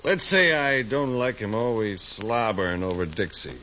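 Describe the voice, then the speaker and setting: An actor playing a smug, menacing gambler and saloon boss in a 1950s western radio drama. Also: male voice, lowish voice, normal speed, bright, forceful tone. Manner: smug, threatening. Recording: dull, noisy vintage radio recording.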